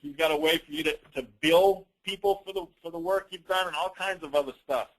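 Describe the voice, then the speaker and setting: A man presenting a business training call as an informal, rambling software demo, with teasing, self-deprecating asides. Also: a little high, brisk, rough recording.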